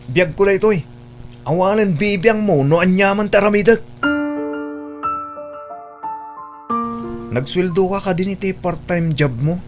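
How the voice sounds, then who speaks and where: A man's voice: sits high, a little fast, soft background music, natural voice. A man playing a defiant, lazy college-age son in a radio drama, insolent and dismissive. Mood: irritated, insolent. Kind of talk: Ilocano radio drama acting.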